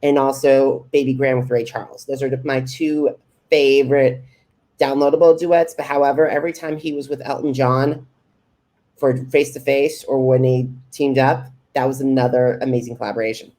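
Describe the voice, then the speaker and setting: A man hosting a podcast interview, asking prepared questions in a deliberate way. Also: medium pitch, flat delivery, warm tone.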